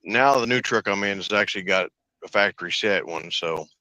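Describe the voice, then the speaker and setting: A man's voice: fairly low pitch, brisk, bright, forceful tone, no echo, rough recording. A man, a truck driver, chatting on a live show and telling a story about getting his radio repaired, giving emphatic advice to viewers. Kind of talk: casual chat, personal anecdote.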